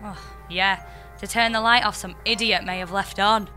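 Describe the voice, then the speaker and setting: A woman's voice: mid-range voice, fairly even intonation, normal speed, pushed and bright, soft background music, close to an everyday voice. A woman playing a lone space traveller in an audio comedy, exasperated, sarcastic and given to swoony flirting. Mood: flirty. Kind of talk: scripted audio comedy sci-fi drama.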